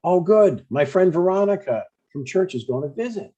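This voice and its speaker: Guy reading eager stubborn dad in his 60s, husky voice, kinda muffled lo-fi recording. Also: high-pitched, animated, normal speed, warm tone. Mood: hopeful. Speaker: actor in a table read. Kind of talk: conversational comedy script read aloud.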